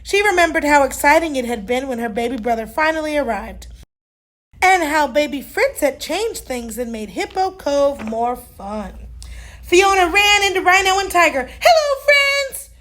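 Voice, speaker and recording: A woman reading a children's story aloud as an expressive storyteller. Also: high voice, moderate pace. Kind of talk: expressive scripted storybook narration.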